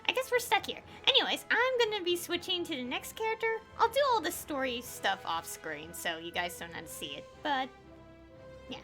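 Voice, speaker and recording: A woman's voice: sits high, big pitch swings, moderate pace, sharp tone, soft background music. A woman giving casual, offhand game commentary, chatting informally to her viewers. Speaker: gaming video creator.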